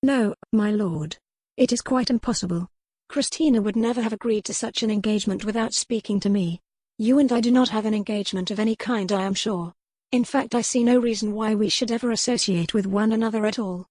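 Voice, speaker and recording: A woman's voice: mid-range voice, a little fast, darkish tone, dry recording, lightly acted. A woman voicing a proper young debutante in a historical romance audiobook, formal, firm and indignant. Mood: firm refusal. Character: young, defiant, proper Regency-style debutante lady.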